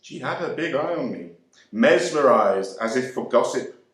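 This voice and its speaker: A man giving a first-person dramatic reading of a novel monologue, measured and expressive. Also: mid-range voice. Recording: roomy echo.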